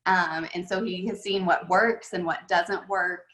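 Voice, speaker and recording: A woman's voice: lowish voice, steady tone, measured pace, fairly bright tone. Chatty woman golf coach on a video call. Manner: chatty, anecdotal, many fillers. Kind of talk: casual storytelling on a video call. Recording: echoey room on a video call.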